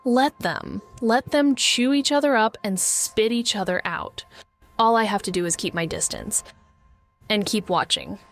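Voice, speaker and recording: An actress playing a wry, bitter, paranoid amateur sleuth, narrating a noir audio drama in a sardonic, brooding way. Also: female voice, mid-range voice, expressive, unhurried, faint music behind.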